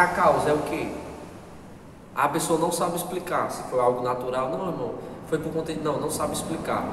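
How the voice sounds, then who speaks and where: A Brazilian man leads a church Bible class, earnest and repetitive, often asking his listeners questions. His voice sits a little high for a man. It is picked up from a distance in a long, echoing hall. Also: a little hiss or hum. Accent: Brazilian Portuguese. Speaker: Sunday school teacher. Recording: echoey hall, distant mic, faint ambience.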